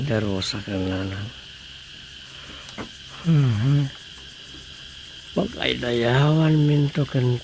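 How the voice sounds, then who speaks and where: A grumbling Ilocano husband played by a male actor in a comic radio drama. He talks slowly in a gravelly creak, with clear noise and insect ambience behind him. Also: medium pitch, exaggerated voice. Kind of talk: radio drama comedy acting.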